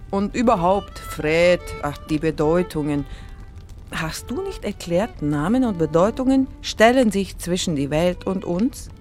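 A playful Slovak woman scientist, speaks slowly in a lowish voice. She is flirtatious and teasing, pausing to hunt for words in intimate drama dialogue. Music plays behind her. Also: a little mellow. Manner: teasing, halting word searches. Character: playful, flirtatious Slovak woman biologist studying lake fish.

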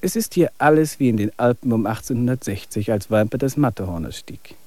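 An actor in a radio play as a wry, weary male traveler, speaking in an even, reflective way. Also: mid-range voice, a little fast, no echo, natural voice. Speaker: main character.

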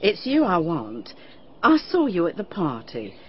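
A woman's voice: fairly low pitch, huge pitch swings, a little slow, slight background noise. An actress playing a humble widowed village cleaning woman, deferential and plain-spoken, in a radio drama. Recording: dull lo-fi radio drama recording.

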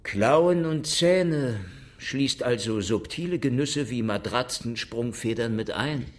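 An actor in a German radio drama playing a world-weary, sardonic police investigator who speaks and narrates with dry, insolent irony. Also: male voice, medium pitch, slow, lightly acted. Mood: insolent, probing. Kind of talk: German radio drama acting with hard-boiled first-person narration.

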